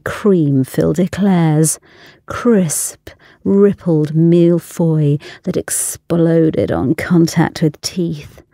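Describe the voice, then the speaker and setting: A woman reading a literary short story aloud in a languorous, deliberate manner. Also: deep voice, gravelly, dark tone, no echo, clean studio sound. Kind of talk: scripted literary narration.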